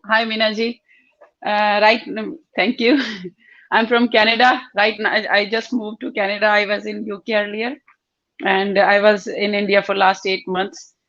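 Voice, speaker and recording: A woman pleads earnestly over a video call, her voice gravelly and kept flat in pitch. She pauses often and reaches for words. The audio is dry and dull. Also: no room sound. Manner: pleading, heartfelt, halting with fillers. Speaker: caller on live show.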